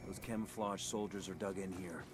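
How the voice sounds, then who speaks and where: An actor playing a gruff, blunt special forces squad leader in a video game. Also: male voice, low voice, fairly even intonation, moderate pace, heavy background noise, close to an everyday voice. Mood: determined.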